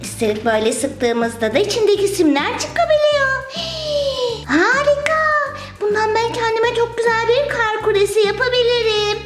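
A performer voicing an excitable, delighted little girl in a children's toy play skit, gushing in sing-song exclamations. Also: extremely high pitch, normal speed. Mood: excited, grateful. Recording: roomy echo with music behind.

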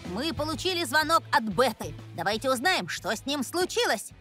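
A woman plays a confident cartoon boy leading a team. The voice is high, earnest and purposeful, with music behind it. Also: very animated, normal speed. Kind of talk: animated series dub.

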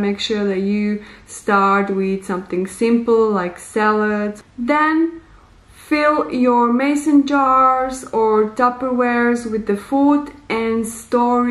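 A woman in her thirties hosting a casual how-to vlog, with a fairly high voice and an unhurried pace. She gives simple, deliberate advice in slightly non-native English. The sound is roomy, from a small bare room indoors. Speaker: vlogger, host. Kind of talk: casual instructional vlog to camera.

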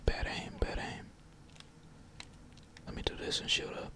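Gamer whispering into a close mic, chill slangy asmr play-by-play, some cussing. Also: unhurried. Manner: slangy, laid-back, casual swearing. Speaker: gaming streamer. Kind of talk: whispered ASMR gameplay commentary.

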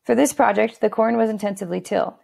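A woman narrating a screen-recorded software walkthrough in a steady instructional tone, with a few fillers and restarts. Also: medium pitch, fast, vocal fry, breathy edge.

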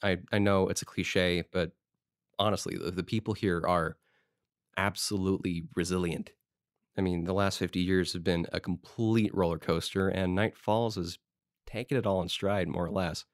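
A man's voice: low-pitched, steady tone, normal speed, no room sound, studio quality. A man playing an eager small-town historical society president in an audio drama, telling stories in an informal, rambling way. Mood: friendly, casual. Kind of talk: conversational audio drama monologue.

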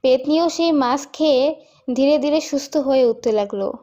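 A woman's voice: sits high, moderate pace, warm tone. A woman narrating a scripted cartoon folk tale as the storyteller.

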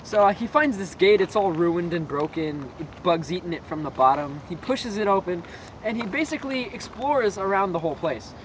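A young man rambling through a creepy story with lots of fillers, recorded in a dark room on a camera a few feet away. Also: sits high, expressive, normal speed. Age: young adult. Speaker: co-host. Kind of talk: casual storytelling. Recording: noisy camera audio.

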